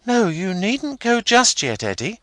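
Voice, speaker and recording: Man putting on a girlish young woman, high sing-song voice, bright tone, dry sound. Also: sing-song, unhurried, no echo, exaggerated voice. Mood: playful, teasing.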